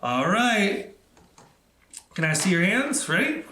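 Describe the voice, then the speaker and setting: A man presenting storytime for children, narrating expressively in simple phrases with dramatic pauses. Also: high-pitched, sing-song, bright, forceful tone, reverberant, a little hiss or hum.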